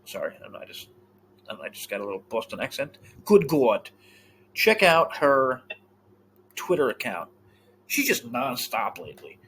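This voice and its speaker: A man co-hosting a casual podcast chat, animated and swearing freely, often trailing off mid-thought. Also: mid-range voice, normal speed, pauses often, slight background noise, low-quality recording. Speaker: podcast co-host.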